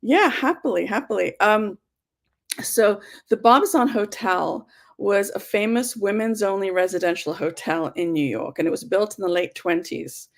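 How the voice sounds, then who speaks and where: An English woman speaking with a gravelly, creaky edge, talking through her career as a historian and author. She is chatty and relaxed, dotting her answers with ums, and sounds like she is on a video call. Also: mid-range voice, moderate pace. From United Kingdom. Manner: chatty, frequent ums and soft laughs. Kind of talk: conversational interview answers.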